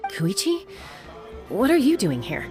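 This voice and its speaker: An actress playing a headstrong, unflappable older sister and adventurer in a video game, cool, confident and dismissive. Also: female voice, a little high, huge pitch swings, a little slow, over music. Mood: surprised.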